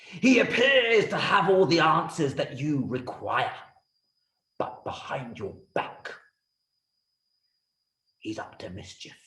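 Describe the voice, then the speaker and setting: Man playing a sly, stammering prime minister, very animated. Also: fairly high pitch, slow, long pauses, heavily acted. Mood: sly, insinuating. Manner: stammering, throat-clearing, insinuating. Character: scheming, obsequious male prime minister, sly and bumbling. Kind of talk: theatrical children's storytelling. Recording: roomy, echoey room sound.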